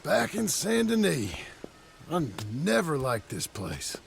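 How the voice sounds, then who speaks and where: A man acting a gruff, weary former outlaw in a video game cutscene, curt, dry and sardonic. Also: a little high, huge pitch swings, slow, full character voice.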